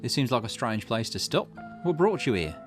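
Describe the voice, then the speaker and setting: A man's voice: medium pitch, very animated, normal speed, soft background music, close to an everyday voice. A man voicing a cagey, theatrical stranger in a visual novel, with a teasing, mock-polite manner. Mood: sly curiosity. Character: cagey, theatrical, shady male stranger loitering at night.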